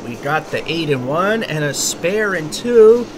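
A man's voice: a little high, sing-song, a little slow, noisy background. A man delivering a wry, mock-promotional voice-over as the show's host. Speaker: show host. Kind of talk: voice-over segment.

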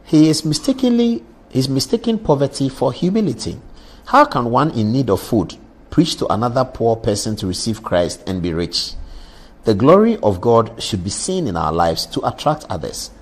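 Husky lively male talk show host. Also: medium pitch, normal speed. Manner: lively, interjecting, presses the guest with follow-ups. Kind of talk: live studio discussion, reading viewer messages.

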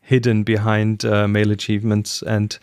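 A man's voice: lowish voice, monotone, normal speed, darkish tone. A middle-aged man from Germany, a native German speaker, co-hosting a casual podcast discussion on a close microphone. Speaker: podcast co-host. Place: small room at home, indoors, video call. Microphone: close podcast microphone with headset.

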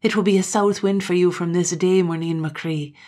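A possessive, murderous Highland man from a read-aloud tale, put on by a woman. His voice is husky and a little low, and he pushes every line with forceful menace. It sounds like a storyteller's villain. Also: steady tone, moderate pace, close to an everyday voice. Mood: menacing. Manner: menacing, pleading, forceful dialect speech.

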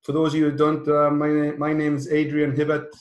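Echoey, even-toned middle-aged British man. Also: on the high side, brisk. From South Wales, UK. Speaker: host of an online presentation call. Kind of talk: informal webinar introduction. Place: small indoor office room, on a video call. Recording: roomy, echoey video call.

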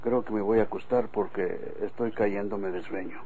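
Casting: man playing complacent Mexican country husband, gravelly mellow mid voice, colloquial, old radio serial. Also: on the low side, fairly even intonation, normal speed, close to an everyday voice, low-quality recording. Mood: complacent, reassuring. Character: easygoing, trusting, sleepy country husband. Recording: old radio recording, faint noise.

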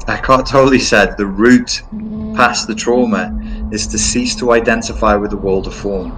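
A man's voice: fairly low pitch, fairly even intonation, moderate pace, music in the background, low-quality recording. A man giving an informal monologue in a blunt, emphatic, repetitive way.

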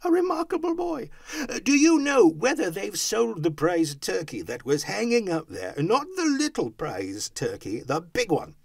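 A man's voice: on the high side, huge pitch swings, a little slow, hardly any pauses, husky, no echo, clean studio sound, voice pushed up, exaggerated voice. A man voicing an overjoyed, reformed old miser from a storybook, exclamatory and effusive, whooping with delight.

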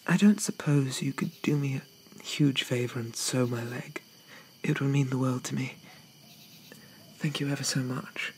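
A man playing a shy, gentle, polite undead gentleman in a hesitant, breathy, half-whispered ASMR roleplay. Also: medium pitch, slight background noise, lightly acted, low-quality recording. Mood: flustered, apologetic. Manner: hesitant, apologetic, softly murmured, old-fashioned politeness.

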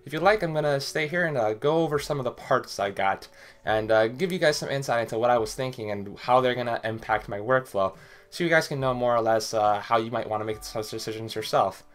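A man's voice: medium pitch, brisk. A young man talking casually to his webcam in a small bedroom, a little sick with a cough, rambling with many fillers as he walks through computer parts. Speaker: tech vlogger. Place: small bedroom with hardware boxes. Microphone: webcam at desk.

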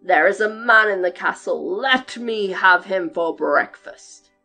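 A huge, hungry giant bellows in a thunderous voice, played by a woman storyteller dropping her pitch. He booms each line loudly and slowly. Soft music plays behind him. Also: creaky. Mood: menacing. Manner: booming, thunderous.

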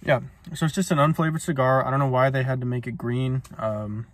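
A man's voice: medium pitch, a little fast. Laid-back young man reviewing in a car. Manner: laid-back, rambling, many ums and uhs. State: smoking while talking. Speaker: cigar reviewer. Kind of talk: casual review vlog. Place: parked car, indoors. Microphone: phone camera on dashboard.

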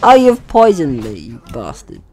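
Male gaming host, very lively intonation, casual and playful running commentary, mild swearing. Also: mid-range voice, normal speed. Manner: playful, swearing, talks to game characters. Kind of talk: casual let's-play commentary.

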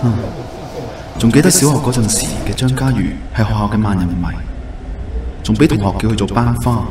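A man's voice: fairly low pitch, expressive, a little fast, far from the mic, background noise, natural voice. An actor playing a wistful young man who recalls a childhood crush, speaking Hong Kong Cantonese in a reflective, earnest way in a radio drama. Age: young adult. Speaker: lead actor and narrator. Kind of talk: radio drama narration and dialogue. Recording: echoey, music bed underneath.

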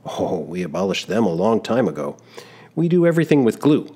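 A man reading a storybook aloud, voicing a smiling male town constable who speaks with great courtesy and gentle insistence. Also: fairly low pitch, sing-song, fairly quick, husky. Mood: courteous.

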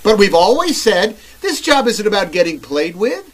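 Earnest cartoon toy cowboy, highish voice, swooping all over. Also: male voice, very animated, normal speed.

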